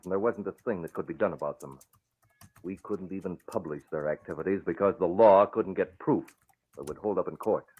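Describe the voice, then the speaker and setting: An actor playing an earnest, determined young man with a measured, resolute manner, in old-time radio drama style. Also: sits low. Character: earnest, determined crusading son, secret vigilante. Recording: muffled, band-limited vintage radio recording.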